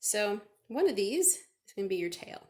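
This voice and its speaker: A woman's voice: mid-range voice, sing-song, moderate pace, a little mellow. A woman chatting through a step-by-step craft for children, asking questions and answering them herself. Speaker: children's librarian leading a craft.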